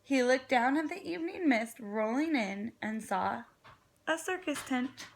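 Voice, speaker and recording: Woman, kindergarten teacher, children's read-aloud, unhurried, creaky edges, expressive, encouraging, dramatic pauses. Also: high voice.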